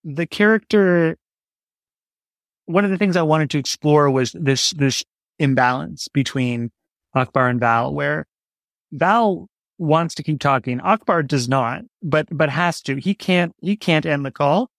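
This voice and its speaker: A man's voice: a little high, measured pace, creaky, fairly bright tone, dry recording, clean studio sound. A man speaking as an interview guest, explaining his creative work in a casual, reflective way. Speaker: interview guest, audio drama writer.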